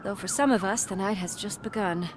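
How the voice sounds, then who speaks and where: An actress playing a composed, dry-witted, protective police officer in a video game, firm and matter-of-fact. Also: female voice, mid-range voice, fairly quick, noisy background, close to an everyday voice. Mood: calming.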